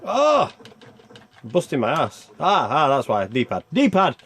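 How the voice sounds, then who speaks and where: A man's voice: fairly high pitch, wildly swooping pitch, takes it slowly, fairly bright tone, husky. A man giving casual, rambling gameplay commentary, thinking aloud as he plays and reviews a video game.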